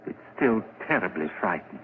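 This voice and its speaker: A man's voice: low-pitched, sing-song, moderate pace. Earnest, anxious man on tinny radio. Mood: tender concern. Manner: earnest, hesitant, dramatic delivery. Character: gentle, anxious, idealistic man with keen hearing. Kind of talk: old-time radio horror acting. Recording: tinny, noisy 1940s radio broadcast.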